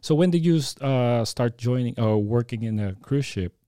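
A man from the Philippines, hosting a studio podcast interview and filling pauses with lots of uhs. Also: mid-range voice, dark tone, clear, resonant tone, dry recording. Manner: hesitant, many fillers, addresses viewers as guys. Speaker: podcast host.

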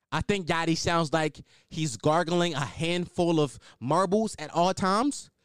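Young guy podcasting solo, high voice, blunt, swears a lot, bouncy up and down delivery. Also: smooth, dry recording, clean studio sound. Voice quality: higher-pitched voice. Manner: opinionated, blunt, swears, restarts and riffs. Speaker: podcast host.

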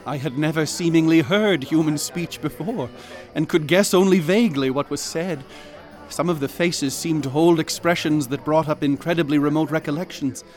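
A man's voice: a little high, darkish tone, lightly acted. A man narrating as a haunted soul in dread. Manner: ornate, slow, dread-laden. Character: haunted man narrating his own horror. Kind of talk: dramatic horror narration.

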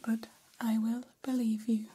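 A woman voicing a gentle, curious female alien in an ASMR roleplay, whispering softly in formal, halting, literal phrases. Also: fairly high pitch, little pitch movement. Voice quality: soft, breathy whisper. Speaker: ASMR performer.

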